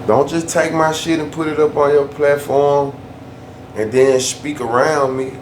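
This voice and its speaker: A man's voice: fairly high pitch, little pitch movement, measured pace. A man ranting casually in a loose, slangy way, often repeating himself for emphasis. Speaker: YouTube storyteller. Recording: echoey room, faint background hum.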